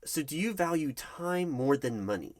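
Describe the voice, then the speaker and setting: A man's voice: a little high, expressive, normal speed, slight background noise, natural voice. A man playing a nervous, polite recruitment manager in an ASMR interview roleplay, hesitant and stammering as he stays diplomatic. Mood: polite, probing. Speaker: job interviewer. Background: computer keyboard typing.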